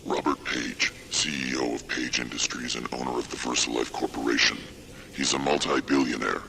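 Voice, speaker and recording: A gravelly, extremely deep secretive informant. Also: male voice, little pitch movement, bright, forceful tone, a little hiss or hum, exaggerated voice. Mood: measured, cautioning. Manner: deliberate, formal, cautioning. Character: secretive male hacker informant, measured and cryptic. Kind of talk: video game cutscene acting.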